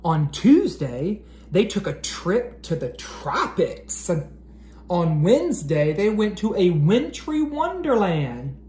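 An American man in his thirties, an educator doing an expressive, carefully enunciated read-aloud for children. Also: high voice, takes it slowly. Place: living room at home, indoors. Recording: roomy echo, clear background noise.

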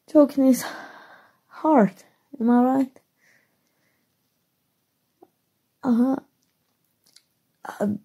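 A shy, awkward gamer superheroine, played by a woman in an ASMR roleplay. Her voice is very soft and mellow, husky with breath and touched with creak. She speaks slowly and haltingly, stammering as she gets flustered. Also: mid-range voice, full character voice. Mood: flustered, nervous. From Mexico.

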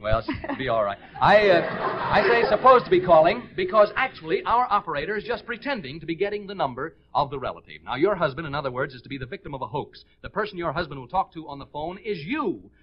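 Excitable high-voiced American radio host. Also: male voice, slightly rough. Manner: excitable, hurried patter. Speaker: game show host. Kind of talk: 1940s live radio game show hosting. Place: on stage before a studio audience. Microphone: stage microphone. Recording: dull 1940s radio recording.

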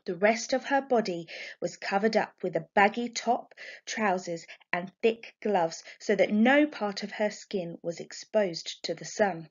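A woman reading a children's book aloud like a primary teacher, in expressive, deliberate narration. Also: mid-range voice. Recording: dull, lo-fi home recording.

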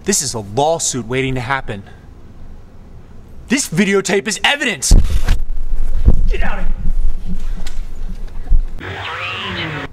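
A man playing a furious studio boss who fires off rhetorical questions and shouts. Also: on the high side, very animated, slow, pauses often, in a large hall, noisy background. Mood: angry.

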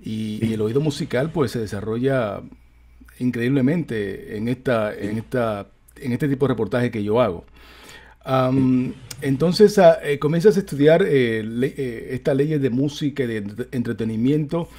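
A man's voice: mid-range voice, normal speed, mellow. A middle-aged man from the Republic, hosting an interview with an enthusiastic presenter delivery into a studio mic. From Dominican Republic. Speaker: interviewer, show host. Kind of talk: broadcast-style interview. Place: home studio with neon sign. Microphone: large studio mic on arm, earbud.

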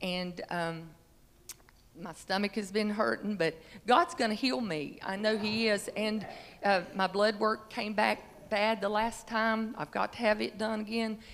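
A woman in her fifties with a slightly raspy voice gives an earnest, halting testimony of faith to a congregation, speaking into a handheld microphone. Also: medium pitch, fairly even intonation, a bit bright, slight background noise. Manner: earnest, halting, emphatic on key phrases. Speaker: church member giving testimony before singing. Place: large church sanctuary. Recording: reverberant church hall through handheld microphone.

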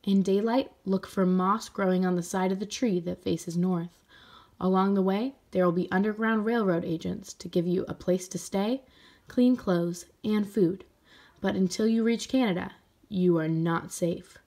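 A woman voicing a gentle, solemn aunt who guides a child in a storybook, soft and measured. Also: mid-range voice. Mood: soft, instructive.

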